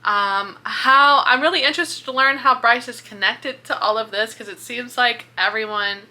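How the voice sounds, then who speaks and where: A woman book vlogger chatting casually in an expressive, informal way with plenty of swearing. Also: on the high side, moderate pace. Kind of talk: casual reaction chat to camera.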